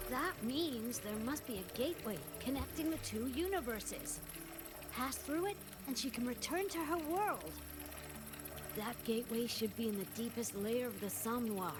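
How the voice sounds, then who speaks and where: A woman playing an expressive young female guide to a dream world in a video game, speaking in an animated way. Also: fairly high pitch. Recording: game music behind the voice. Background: game music.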